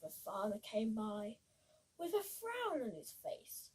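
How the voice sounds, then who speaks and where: A female reader giving an animated, slightly halting read-aloud of a children's story. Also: on the high side, husky. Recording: voice far from the mic.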